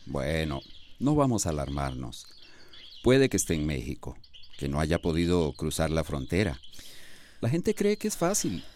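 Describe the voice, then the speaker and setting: A man's voice: low voice, a little mellow, a little hiss or hum. An actor playing a kindly, reassuring older male landowner in a radio soap opera. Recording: radio drama with birdsong ambience behind.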